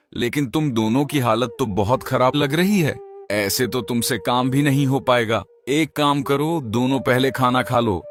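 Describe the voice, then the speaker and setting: A man's voice: mid-range voice, close to an everyday voice. A man playing a blunt but kindly rich village landowner in a cartoon, speaking with matter-of-fact authority. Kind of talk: Hindi animated moral-story dialogue.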